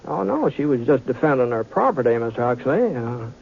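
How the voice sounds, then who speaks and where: An actor playing an easygoing, reluctant western drifter, mild, hesitant and folksy, in an old radio drama. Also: male voice, mid-range voice, big pitch swings, a little fast, vocal fry, narrow, tinny audio. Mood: mild, placating. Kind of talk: old-time radio western acting and first-person narration. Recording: thin, noisy classic radio recording with clicks.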